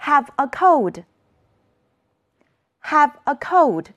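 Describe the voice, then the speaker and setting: Slow, lively woman teacher. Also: high voice, highs cut off. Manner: slow, lively teacher voice, modeling words to repeat. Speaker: English teacher. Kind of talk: classroom lesson for children.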